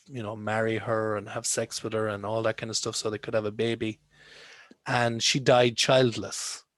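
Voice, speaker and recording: A man's voice: mid-range voice, no echo. An Irish man teaching English in a chatty, joking way, wandering into asides during an online class. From Ireland. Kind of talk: casual online class lecture. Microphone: video call.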